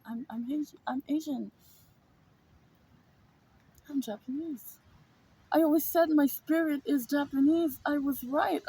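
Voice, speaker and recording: A woman's voice: high-pitched, vocal fry, warm tone, a little hiss or hum, rough recording. A woman vlogger telling a personal story in a casual monologue, emphatic and repetitive, swearing freely. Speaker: spiritual vlogger.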